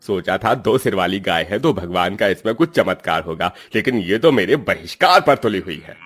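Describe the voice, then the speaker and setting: A man's voice: low-pitched, animated, normal speed, fairly bright tone, soft background music. A man voicing a greedy, cruel middle-aged moneylender in a cartoon story, with a harsh, scolding, scheming way of talking.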